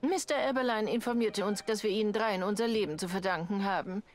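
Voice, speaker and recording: A woman's voice: mid-range voice, fast, close to an everyday voice. An actress playing a stately middle-aged queen in a game cutscene, formal and commanding. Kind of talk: dramatic game cutscene acting.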